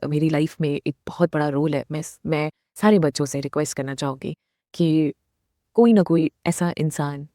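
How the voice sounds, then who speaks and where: A woman's voice: low voice, mellow, no echo, clean studio sound. A woman in her thirties giving a heartfelt motivational talk to her viewers. She speaks earnestly, mixing Hindi with English phrases. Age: 30s. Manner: speaks directly to viewers, asks them questions. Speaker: vlogger.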